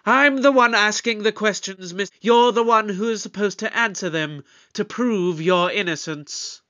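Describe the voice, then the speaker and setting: Brisk, authoritative space detective in an audio drama. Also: mid-range voice, sharp tone. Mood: brisk, probing.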